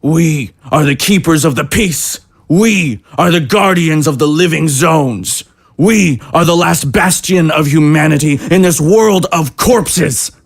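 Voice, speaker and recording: An actor playing a fiery military commander in an audio drama, giving an emphatic, rousing speech with pauses for effect. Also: male voice, fairly high pitch, creaky, husky, full character voice. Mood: roused, shouting. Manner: oratorical, emphatic, pausing for effect. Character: fiery military commander rallying troops against zombies.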